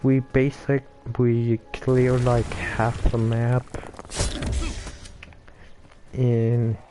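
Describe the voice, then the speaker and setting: A man giving casual gameplay commentary in a halting, stuttering way, with many restarts and fillers. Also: mid-range voice, flat delivery, over music.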